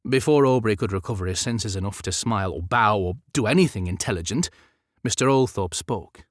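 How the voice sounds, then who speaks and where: A man narrates a historical novel in a lowish voice. His storytelling is measured and dramatic. The sound is dry and studio-close. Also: dry recording. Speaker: audiobook narrator.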